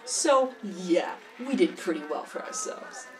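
A woman's voice: on the low side, animated, unhurried, darkish tone. A woman acting the part of a cynical, worldly smuggler who recounts things matter-of-factly in an audio drama interview. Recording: slightly distant, music behind.

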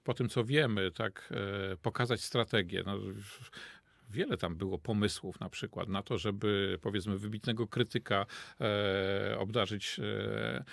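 Gravelly older man, halting professor. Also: on the low side, steady tone, fairly bright tone, dry recording. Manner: hesitant, many fillers and restarts. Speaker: literature professor guest. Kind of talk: radio studio interview. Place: radio studio. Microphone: studio mic on desk.

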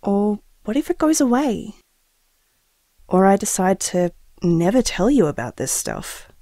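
A woman's voice: mid-range voice, big pitch swings, measured pace, warm tone, dry recording, studio quality. A woman playing an earnest expectant mother in an audio drama, confiding reflectively and hesitantly as if to a taped diary. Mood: doubtful, hesitant.

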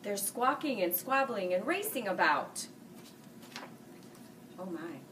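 A woman in her forties reading a children's picture book aloud, dropping low and soft for the older characters. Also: very animated, slow pace. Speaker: school staff reading buddy. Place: small room, seated before a green-screen farm backdrop. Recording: distant mic with faint hiss.

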